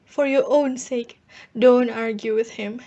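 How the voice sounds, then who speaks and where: A woman's voice: fairly high pitch, steady tone, unhurried, highs cut off, lightly acted. A woman acting as a submissive, weary mother in a radio drama. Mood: resigned pleading. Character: submissive, weary mother urging her daughter to obey. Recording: clearly noisy, quiet distant sound.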